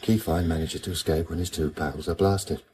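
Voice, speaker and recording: An actor playing a calm, world-weary widowed detective in a dry, clipped, understated way for radio drama. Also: male voice, deep voice, moderate pace, background noise, close to an everyday voice. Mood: calm, persuasive. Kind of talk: naturalistic radio drama acting.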